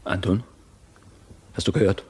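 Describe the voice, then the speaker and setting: An actor playing a proud, ambitious lord of a castle, imperious and agitated, with theatrical delivery in a radio drama. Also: male voice, unhurried, natural voice. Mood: agitated disbelief. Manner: imperious, theatrical, swelling from hushed to commanding.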